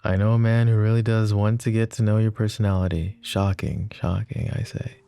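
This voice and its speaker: Casting: male actor as smitten mafia boss for romance ASMR; very low, creaky voice, flirty yet self-deprecating, hesitant murmur. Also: flat delivery, a little fast, mellow, dry recording. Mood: teasing, flirty. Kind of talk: intimate ASMR romance roleplay.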